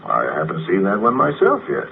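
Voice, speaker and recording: A self-assured psychiatrist explains his work in a lowish voice that rises and falls a lot. The sound is thin and phone-like, with a faint hiss, like a 1950 radio drama. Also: huge pitch swings, moderate pace, vocal fry, exaggerated voice. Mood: calm explaining. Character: confident male psychiatrist, sanitarium doctor. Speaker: doctor. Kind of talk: 1950s radio drama acting.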